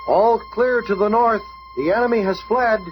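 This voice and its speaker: Man playing a high-voiced young hothead hero. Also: fairly even intonation, normal speed, muffled recording, natural voice. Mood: resentful. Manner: brash, slangy. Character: cocky hot-headed young male superhero. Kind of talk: 1970s radio drama acting. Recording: very noisy 1970s radio recording.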